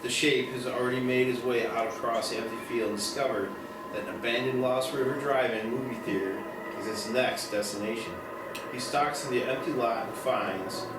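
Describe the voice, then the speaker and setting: A man reading screenplay stage directions as the narrator of a table read. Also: medium pitch. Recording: very echoey, distant mic, music behind. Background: music.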